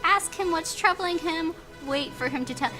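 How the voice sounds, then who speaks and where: A high, bright voice from an excitable woman reading a story game aloud. Her delivery swings widely in pitch and is playful and geeky, with self-mocking asides. Music plays behind her. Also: normal speed. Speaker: gaming channel player reading out a story game. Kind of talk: playful Let's Play reading with joking asides.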